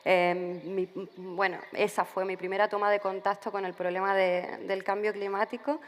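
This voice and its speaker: A woman's voice: mid-range voice, flat delivery, moderate pace, big-room sound. A woman writer answering interview questions at a literature festival, thinking aloud with frequent restarts. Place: festival venue.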